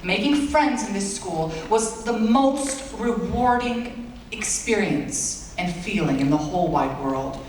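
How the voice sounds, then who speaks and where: A man's voice: sits high, lively intonation, takes it slowly, few pauses, darkish tone, slight background noise. Teen boy's speech, echoing hall from afar. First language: Bulgarian. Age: late teens. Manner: earnest, self-deprecating, with playful asides. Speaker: valedictorian. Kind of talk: graduation speech. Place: large school auditorium. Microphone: far-off audience camera. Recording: echoey hall recorded from far off.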